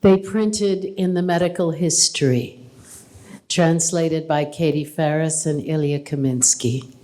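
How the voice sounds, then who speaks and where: A woman reading an English translation of a poem aloud in a calm, measured way at a live reading. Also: sits low, low-quality recording. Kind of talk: poetry reading. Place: gallery reading event.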